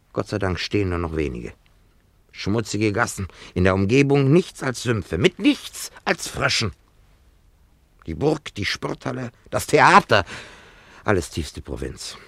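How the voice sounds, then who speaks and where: A man's voice: lowish voice, big pitch swings, slow, gravelly, long pauses, fairly bright tone, no room sound, heavily acted. A man playing an irritable, sardonic dentist in a German radio play, narrating theatrically in clipped, emphatic phrases. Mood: disdainful. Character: irritable, wine-loving male dentist in an ancient town.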